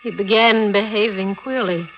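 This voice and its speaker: An actress playing a strained, weary young newlywed wife in a 1940s radio mystery, subdued in manner. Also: female voice, medium pitch, normal speed, faint music behind, natural voice. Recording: dull vintage 1940s radio recording.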